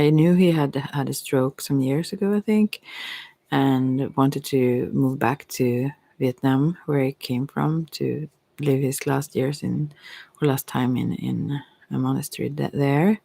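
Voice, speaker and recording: Casting: middle-aged Swedish woman, soft-spoken ASMR ramble, gravelly fry, very dry close recording. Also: very deep voice, dry recording. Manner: rambling, trailing off mid-thought. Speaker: ASMR creator and tarot reader. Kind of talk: unscripted reflective ASMR monologue.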